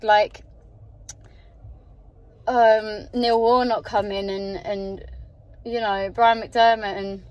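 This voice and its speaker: A young woman from outside Yorkshire, talking emotionally and gushing to her phone camera in a car at night, close to tears. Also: on the high side. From England, outside Yorkshire. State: teary. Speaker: football fan. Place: inside a parked car at night. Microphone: phone camera held at arm's length.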